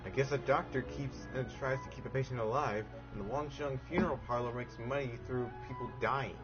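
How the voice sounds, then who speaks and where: A man streams a game with casual, thinking-aloud commentary. His voice sits at an average pitch. The audio is somewhat dull, and game music plays clearly behind him. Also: fairly even intonation, a little fast. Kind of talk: casual live gameplay commentary.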